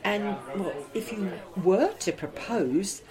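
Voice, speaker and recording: A fond, teasing older barmaid, played by a woman, in an amateur comedy drama. Her voice sits at a middling pitch, and a crowd murmurs clearly behind her. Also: animated, slow pace. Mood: tender.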